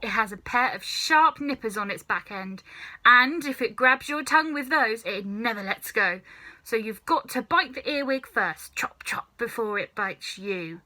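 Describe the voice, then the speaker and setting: A woman playing a snappish, sinister old grandmother, bright-voiced. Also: a little high, expressive. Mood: sinister, menacing. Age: older. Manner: snapping, commanding, drawn-out relish on key words. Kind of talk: children's storybook character reading.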